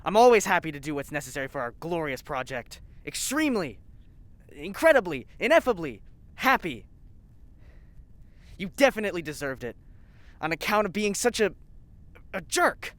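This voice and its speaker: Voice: mid-range voice, big pitch swings, normal speed, pauses often, fairly bright tone. An actor playing a zealous, spiteful scientist in an audio drama, bitter, sarcastic and insistent. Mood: defensive gloating. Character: zealous, spiteful lab scientist named Colin. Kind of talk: scripted audio drama acting.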